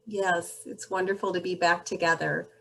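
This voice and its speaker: A woman hosting an online meeting over a video call, introducing each guest warmly and graciously. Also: lowish voice, steady tone, normal speed, creaky. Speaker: meeting host.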